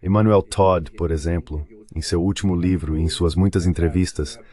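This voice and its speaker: Very deep-voiced man, Brazilian Portuguese accent. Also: steady tone, moderate pace, dark tone. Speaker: dubbed translation voice of an interview guest. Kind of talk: translated political commentary, read voice-over.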